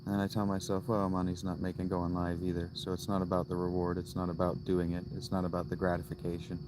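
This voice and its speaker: A man in his thirties chatting on a casual livestream from inside a tent, musing slowly and restarting his thoughts as he goes. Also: low voice, monotone, noisy background. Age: 30s. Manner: slow, musing, thinks aloud with restarts. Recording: loud crickets and outdoor ambience. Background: crickets.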